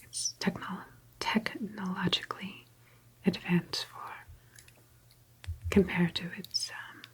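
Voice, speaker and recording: Whispering, slow-paced woman playing office agent. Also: medium pitch, fairly even intonation, poor audio. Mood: calm, methodical. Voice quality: whispered, breathy. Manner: unhurried, hesitant, officious, softly polite. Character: slow, meticulous, prim female time-travel office agent. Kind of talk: ASMR roleplay.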